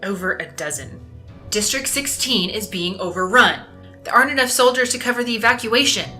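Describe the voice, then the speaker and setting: A woman's voice: medium pitch, lively intonation, fairly quick, pushed and bright. An actress playing an alarmed female logistics officer in an audio drama, giving an urgent, clipped military report. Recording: music under the voice.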